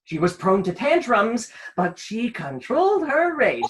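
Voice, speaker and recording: An actor playing a bossy, flustered storybook narrator in a comic radio play, with a husky voice. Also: medium pitch, wildly swooping pitch, measured pace, very noisy. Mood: exasperated. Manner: storybook narration, pointed and improvising. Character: flustered, bossy storybook narrator trying to control his characters.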